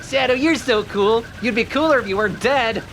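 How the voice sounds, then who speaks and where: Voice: medium pitch, fairly quick, fairly bright tone, slight background noise, close to an everyday voice, rough recording. A man voicing a video game character who calls out to another character. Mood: excited.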